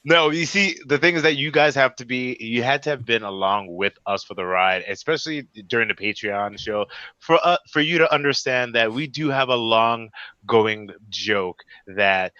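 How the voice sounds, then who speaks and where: A man's voice: mid-range voice, normal speed, no room sound, dull audio. A man on a cast panel over a video call, chatting casually with a teasing, joking manner. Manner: teasing, animated banter. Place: indoors on a group video call.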